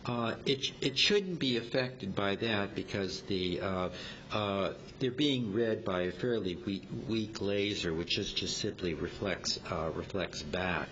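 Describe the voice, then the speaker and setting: A man with a very low voice gives an informal talk, feeling his way hesitantly through technical explanations. The recording is dull and amateur, with clear noise and a low rumble underneath. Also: a bit bright. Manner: halting, hesitant, explanatory delivery. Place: club meeting room.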